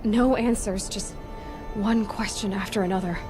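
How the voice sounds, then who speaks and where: An actress playing an earnest young female seeker in a video game, pensive and measured, frustrated yet defiant. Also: medium pitch, fairly even intonation, music in the background, lightly acted. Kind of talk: video game cutscene acting.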